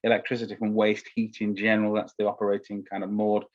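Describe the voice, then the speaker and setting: A man's voice: lowish voice, completely flat delivery, fast talker. A man chairing an online workshop, polite and hesitant, often restarting his phrases. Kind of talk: moderating an online Q&A. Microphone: video call. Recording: dull lo-fi video call.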